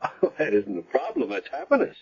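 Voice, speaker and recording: An actor playing a kindly, reassuring hospital chaplain in a 1970s radio drama, with a slightly husky voice. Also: male voice, medium pitch, huge pitch swings, a little fast, full character voice. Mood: warm, reassuring. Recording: muffled 1970s radio broadcast.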